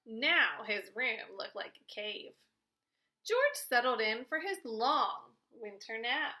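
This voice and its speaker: A woman reads a picture book aloud with a bright, high voice. Her delivery is expressive and aimed at young children, with melody that swings widely from phrase to phrase. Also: slow pace. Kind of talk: read-aloud children's storytelling.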